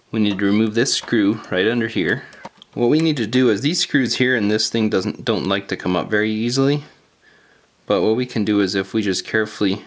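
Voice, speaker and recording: A man with a fairly low voice walks through a hands-on repair tutorial. He is informal and plain-spoken, explaining each step casually and often repeating his phrasing.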